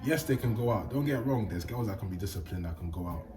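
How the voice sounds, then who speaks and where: A young man, hosting a relaxed chat in slangy talk. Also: quick pace. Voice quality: deep voice. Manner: laid-back slangy talk, animated bursts. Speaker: host. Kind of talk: casual interview chat.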